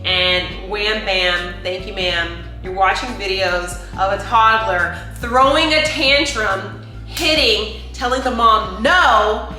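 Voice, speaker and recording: A woman's voice: medium pitch, slow, bright, forceful tone. Middle-aged woman chatting in an echoey room. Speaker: co-host. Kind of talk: casual chat show talk. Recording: very echoey room, faint music.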